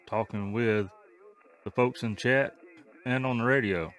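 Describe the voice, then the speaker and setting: A man's voice: mid-range voice, fairly bright tone. A middle-aged man from the United States talking casually as a livestreamer, close to a studio microphone. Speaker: amateur radio livestreamer. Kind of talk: casual rambling vlog narration. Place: indoor radio shack. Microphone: studio microphone on a boom.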